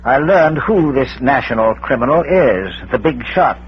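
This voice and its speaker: A man's voice: medium pitch, lively intonation, moderate pace, lightly acted. A man acting an erudite amateur detective in a vintage radio mystery. He talks in urbane, wordy, theatrical phrases with dry wit. The recording is muffled, with a light hiss. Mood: dry, reproving. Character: erudite, droll bearded schoolmaster and amateur detective.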